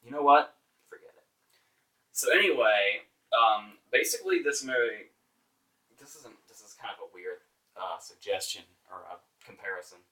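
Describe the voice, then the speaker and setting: A man's voice: on the low side, lively intonation, normal speed, bright, forceful tone. A man reviewing movies informally as a host, exasperated, emphatic and sarcastic, with casual swearing. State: voice getting hoarse. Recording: distant microphone.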